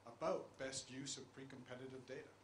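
A man's voice: medium pitch, fairly even intonation, fairly quick. Persuasive middle-aged man, roomy sound. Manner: urging, persuasive. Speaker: panelist. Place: conference room. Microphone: handheld microphone. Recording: echoey conference room, faint hiss.

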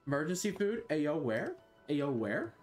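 A young man chatting on a headset mic in his bedroom, rambling and scattered with plenty of swearing. His voice is fairly high and jumps around in pitch, with faint game music behind. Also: sing-song, measured pace. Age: young adult. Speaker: streamer. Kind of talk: casual gameplay commentary.

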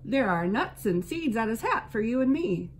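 A woman's voice: a little high, sing-song, normal speed, a little hiss or hum, pitched above the performer's own voice. A woman voicing a cheerful little chickadee who talks in a sing-song way with chirping syllables. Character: cheerful tiny chickadee bird, bold and bubbly.

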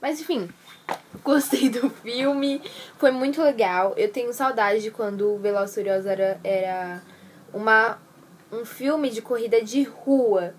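A slightly high-voiced teenage girl from Brazil chats animatedly into a phone held at arm's length, full of slang. The recording carries a clear layer of background noise and clicks. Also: unhurried. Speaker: vlogger. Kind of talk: casual day-in-the-life vlog. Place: bedroom and shopping mall, indoors. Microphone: handheld phone camera at arm's length.

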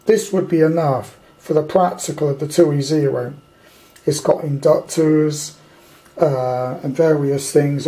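A British man talks through a piece of kit as an amateur hobbyist would. His voice sits a little high for a man and has a dark, relaxed tone. He rambles in plain colloquial language and gives his opinions bluntly. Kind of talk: casual off-the-cuff product review. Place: indoors at a tabletop.

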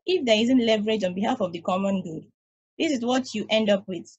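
A woman voicing a cynical, grumpy space probe who answers bluntly and dismissively. Her voice is mid-pitched and husky. It is read into a home video-call mic. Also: fairly quick, darkish tone, lo-fi sound, lightly acted.

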